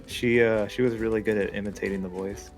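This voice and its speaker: A man's voice: fairly low pitch, fairly even intonation, brisk. A man from Texas chatting casually on a gaming stream, rambling and reading chat aloud. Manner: rambling, reads chat aloud, laughs often. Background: game music.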